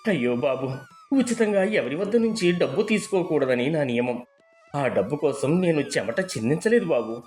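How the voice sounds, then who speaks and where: A man's voice: on the high side, animated, normal speed, darkish tone, soft background music, lightly acted. A man playing an honest, humble poor gardener in a moral story, earnest and deferential. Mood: tearful, reluctant. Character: humble, honest poor male gardener, tearful, devoted husband. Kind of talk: dramatised moral storybook dialogue.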